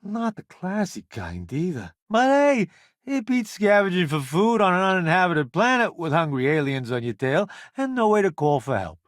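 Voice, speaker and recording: Glib celebrity gourmand in a space game: higher male voice, hugely animated swings, sardonic and showy. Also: moderate pace, no room sound, clean studio sound. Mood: sardonic, teasing. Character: flamboyant, wisecracking male celebrity food critic turned spaceship crewmate. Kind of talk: video game character voice acting.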